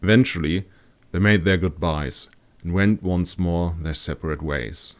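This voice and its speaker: A man's voice: sits low, a little slow. A man reading his own fantasy audiobook as a scripted narration.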